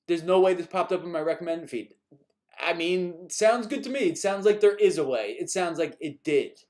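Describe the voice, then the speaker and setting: A man's voice: sits high, moderate pace, darkish tone. A man from New York chatting casually on a live stream, answering viewer questions in a rambling, chuckling way, a bit exasperated at repeat questions.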